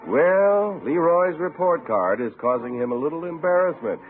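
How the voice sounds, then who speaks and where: A man's voice: a little high, lively intonation, moderate pace, soft background music. A man announcing from a script in 1950s radio style. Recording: narrow-band old radio broadcast recording.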